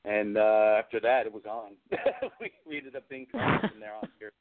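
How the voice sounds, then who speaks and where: A man's voice: fairly low pitch, sing-song, moderate pace, crowd noise. A man chatting casually as an interview guest, telling rambling, slangy anecdotes about his own working life. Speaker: guest, film special effects and prop maker. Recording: phone-like narrow-band radio call-in audio.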